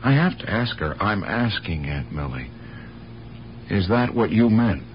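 A regretful police lieutenant in a radio play. His lowish voice moves expressively as he probes with sympathy and hesitation. The recording is dull and slightly hissy. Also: expressive. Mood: troubled, probing. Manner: measured, hesitant, sympathetic questioning. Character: calm, regretful, probing male police lieutenant. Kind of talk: radio drama acting. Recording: muffled, hissy old radio broadcast.

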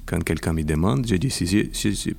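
A Brazilian man in his forties, a lawyer speaking French as a second language with a Brazilian Portuguese accent, in a careful, halting interview manner. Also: sits low, lively intonation, gravelly, a little mellow. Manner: careful, halting with repeated words. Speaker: intellectual property lawyer. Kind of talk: podcast interview.

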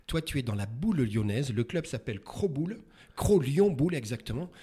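A man's voice: mid-range voice, animated, a little fast, dark tone, husky. A man hosting a casual interview, chatty, with many repeated words and fillers. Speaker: host. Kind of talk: casual interview, warm banter.

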